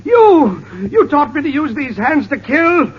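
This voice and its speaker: A man acting a tormented, hypnotized monster, halting and husky, in theatrical 1940s radio style. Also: extremely high pitch, very animated, heavily acted. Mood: bitter, anguished. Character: tormented male monster, hypnotized slave and former trapeze artist. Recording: dull 1940s radio recording.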